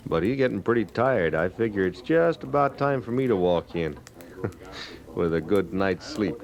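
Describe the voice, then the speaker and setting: An actor playing a sardonic, drifting ex-soldier and gambler in an old-time radio western, easygoing and needling. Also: male voice, low voice, lively intonation, moderate pace, creaky. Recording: old radio drama with crowd murmur. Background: saloon crowd sound effects.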